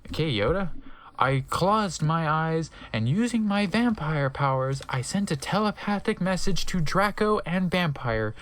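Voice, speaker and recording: A man's voice: fairly high pitch, lively intonation, measured pace, a bit bright, poor audio. A man giving a theatrical, exaggerated comedic reading of fan fiction, breaking off now and then to react. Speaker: reader of a comedic dramatic reading.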